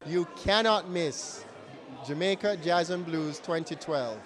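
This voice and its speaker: A man's voice: a little high, takes it slowly. Upbeat man giving a festival plug. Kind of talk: promotional plug. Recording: crowd noise behind.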